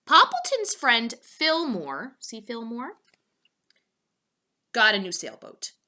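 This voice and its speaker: A woman reading a storybook aloud to young children, expressively, pausing for asides and questions to her listeners. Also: a little high, wildly swooping pitch, pushed and bright.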